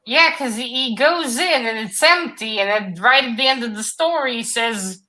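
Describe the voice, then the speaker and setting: A woman's voice: fairly high pitch, normal speed, sharp tone, low-quality recording. A woman in a casual book discussion, questioning and insistent as she argues her reading. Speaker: discussion panelist.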